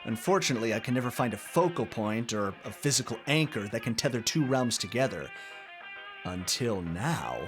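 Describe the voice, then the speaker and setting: A man playing an eccentric, proud amateur inventor in an audio drama, speaking grandly with dramatic pauses. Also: mid-range voice, expressive, close to an everyday voice. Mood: grandiose. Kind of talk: indie audio drama acting. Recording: music under the voice. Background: music.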